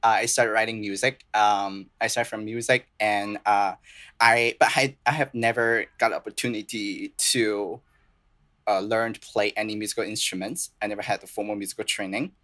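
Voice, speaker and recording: A young man with a Chinese accent talks in a fairly low voice, earnest and halting, often restarting his sentences. He speaks as a guest in a relaxed podcast interview, with English as his second language. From southern China. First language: Cantonese and Mandarin. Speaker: playwright and composer guest.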